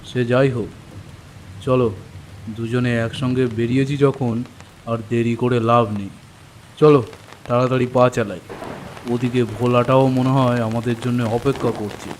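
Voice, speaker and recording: A man voicing an older village moneylender in a cartoon story, familiar, brisk and taking the lead. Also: mid-range voice, level tone, slight background noise, lightly acted, poor audio. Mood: companionable, brisk. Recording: rain and thunder behind voice.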